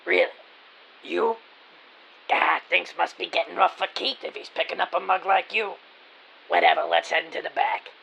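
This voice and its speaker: Man voicing a very gravelly, brash shopkeeper woman. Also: high-pitched, steady tone, moderate pace, long pauses, bright, forceful tone, slight background noise, exaggerated voice, rough recording. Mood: sardonic, brusque. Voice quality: gravelly, raspy. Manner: brusque, slangy, sardonic. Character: short plump gruff middle-aged woman shopkeeper, brash, unimpressed. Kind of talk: audio drama character acting.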